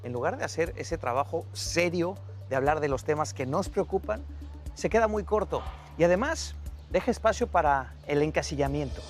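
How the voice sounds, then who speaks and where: Higher-pitched man presenting the news. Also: lively intonation, normal speed, faint music behind. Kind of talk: scripted news narration and interviewing.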